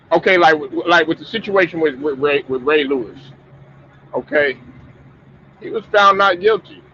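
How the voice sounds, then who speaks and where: A man's voice: a little high, a bit bright. A man hosting a casual sports talk stream, stuffed up and rambling, with many restarts and repeated words. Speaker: sports talk show host. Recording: muffled lo-fi stream audio with faint noise.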